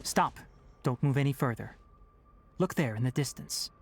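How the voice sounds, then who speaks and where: An actor playing a calm, knowledgeable young forest ranger in a video game, speaking in a measured, instructive way with authority. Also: male voice, mid-range voice. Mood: grave, cautioning. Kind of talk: English video game voice acting.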